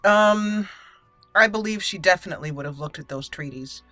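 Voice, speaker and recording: A woman hosting a role-play game playthrough, speaking deliberately and theatrically in character, with casual asides. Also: mid-range voice, sharp tone, dry recording, faint music behind. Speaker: gaming channel host.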